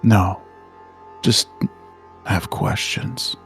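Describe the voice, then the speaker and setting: A man playing a terse, weary, hardened covert killer in a horror roleplaying game, with a gravelly, husky voice and clipped deadpan delivery. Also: low voice, extremely slow, soft background music, full character voice. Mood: flat, guarded.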